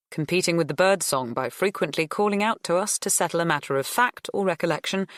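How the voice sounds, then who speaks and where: A woman's voice: sits low, a bit bright, no room sound, clean studio sound. A woman narrating first-person fiction as a scripted audiobook reading.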